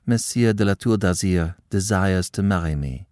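A man voicing a composed young noblewoman who speaks quietly and firmly. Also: low-pitched, no echo, clean studio sound, natural voice. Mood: calm firm. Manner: quiet, measured.

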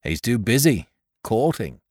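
A man's voice: mid-range voice, very animated, unhurried, darkish tone, no echo, studio quality, pitched above the performer's own voice. A man voicing a plain-spoken, kindly carrier in a read-aloud story. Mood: plain gruff.

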